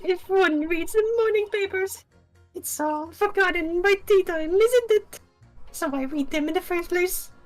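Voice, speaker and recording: A woman's voice: very high-pitched, unhurried, faint music behind. A woman voicing a prim, smug Victorian lady juror with an affected, mannered delivery in an amateur game fandub. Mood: smug, self-satisfied.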